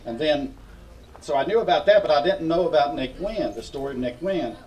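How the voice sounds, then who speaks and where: Older man, Appalachian Virginia accent, fairly high voice, folksy musician-storyteller, recorded at a distance. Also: animated, a little fast, a little mellow. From Virginia, United States. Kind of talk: informal storytelling and folk singing to an audience. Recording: distant microphone, acoustic guitar behind. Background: acoustic guitar.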